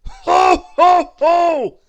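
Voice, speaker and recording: Casting: narrator doing a big booming dragon, loud and threatening, lively swings in pitch. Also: takes it slowly, creaky, full character voice. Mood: laughing helplessly. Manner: bellowing, rumbling and loud. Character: huge grumpy male dragon, booming.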